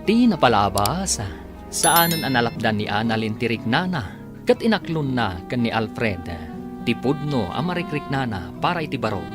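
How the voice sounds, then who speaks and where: Male Filipino drama announcer: swooping, lively intonation, mid pitch, guitar music bed behind. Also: normal speed. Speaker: narrator and announcer. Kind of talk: dramatic scripted narration for a radio serial.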